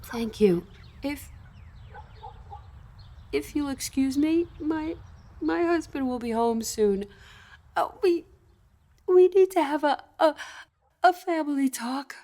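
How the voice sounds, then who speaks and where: A woman's voice: high voice, animated, slow pace, pauses often, mellow, slight background noise. A woman playing a distraught, pleading middle-aged mother in an audio drama, her delivery emotional and faltering. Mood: subdued.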